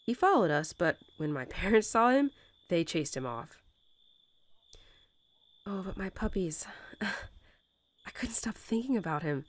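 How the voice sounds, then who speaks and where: A woman's voice: on the low side, sing-song. A woman playing a young mother wolf who talks tenderly and without hurry to her newborn pups, in the style of a bedtime roleplay audio. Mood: fond, reminiscing. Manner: tender, unhurried, softly chuckling, hesitant informal phrasing. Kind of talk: soothing bedtime roleplay audio, intimate storytelling.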